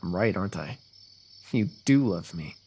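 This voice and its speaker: A man playing a lovestruck, eloquent fantasy royal in a romantic ASMR roleplay, talking intimately and poetically with gentle teasing. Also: low-pitched, expressive, normal speed, husky, heavily acted. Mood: tender, earnest. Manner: intimate, poetic, talkative, softly amused.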